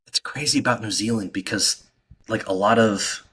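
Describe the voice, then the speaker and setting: American podcast host dude, bright kinda husky voice, chatty and laid back. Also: male voice, fairly low pitch, moderate pace. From United States. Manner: relaxed, chatty, frequent "like". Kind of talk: casual podcast chat.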